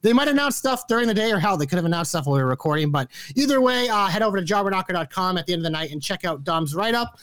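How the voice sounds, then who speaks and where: Fast-talking high-voiced podcast man. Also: expressive, a bit bright, no room sound. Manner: rapid, rambling, swears freely. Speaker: wrestling podcast co-host. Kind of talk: casual podcast banter.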